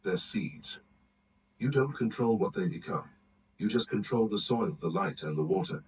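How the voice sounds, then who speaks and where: Low male narrator, distant and hissy. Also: lively intonation, moderate pace, a little mellow. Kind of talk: read-aloud narration of aphorisms. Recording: distant mic with faint background noise.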